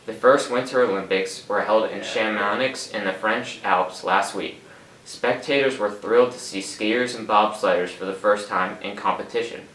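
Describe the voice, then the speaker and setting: A man's voice: fairly low pitch, fairly bright tone. A young man reading a scripted amateur radio sports report as the announcer. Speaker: sports announcer in a student project. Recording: echoey room, distant mic, faint noise.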